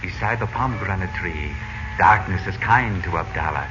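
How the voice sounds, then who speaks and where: Man as smooth flattering Egyptian prince, low voice, formal, 1940s radio serial, dull recording, music underneath. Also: close to an everyday voice. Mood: secretive, guiding. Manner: formal, poetic, deliberate phrasing. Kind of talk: 1940s radio drama acting. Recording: muffled old radio recording.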